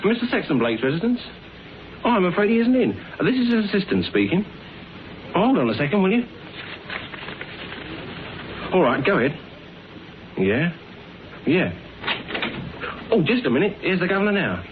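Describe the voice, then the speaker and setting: An actor playing a cheeky, sceptical young detective's assistant in a classic radio drama, brisk and informal. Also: male voice, high-pitched, sing-song. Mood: brisk, polite. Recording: noisy, narrow old radio recording.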